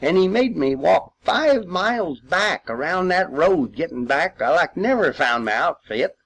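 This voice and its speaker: Older male veteran, rural Missouri accent. Higher-pitched voice, very unhurried, folksy storyteller. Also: lively intonation, fairly bright tone. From Missouri, United States. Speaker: World War II veteran storyteller. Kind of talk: rambling personal reminiscence.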